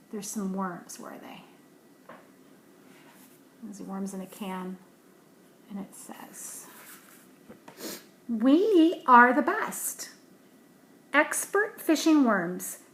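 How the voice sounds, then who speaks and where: Slow, gentle woman reading aloud. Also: fairly high pitch. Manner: gentle hushed asides about the pictures between livelier read lines. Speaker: storybook reader. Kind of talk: reading a picture book aloud to children. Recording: roomy echo, faint background hiss.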